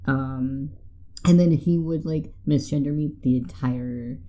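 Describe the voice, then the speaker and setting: A young man co-hosting a casual podcast, talking haltingly with many fillers and restarts. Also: medium pitch, warm tone. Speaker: podcast co-host. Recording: tinny phone-like sound, faint music.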